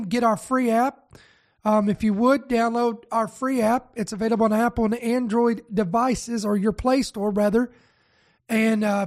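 A male pastor hosting a teaching broadcast, raspy and nasal with a head cold, talking casually and straight to his viewers. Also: extremely high pitch. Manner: relaxed, speaks straight to viewers. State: congested from a virus.

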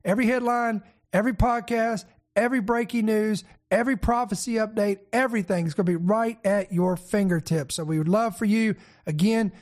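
A man with a high voice hosts a prophetic news show, sounding raspy and congested from a head cold. He delivers his commentary with emphatic, preacher-style energy, and a few fillers slip in as he goes. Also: a little fast, darkish tone. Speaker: host and pastor.

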